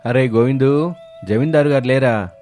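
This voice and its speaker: A man voicing a haughty, impatient village visitor in an animated moral story. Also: mid-range voice, normal speed, natural voice. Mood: bossy. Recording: music behind the voice.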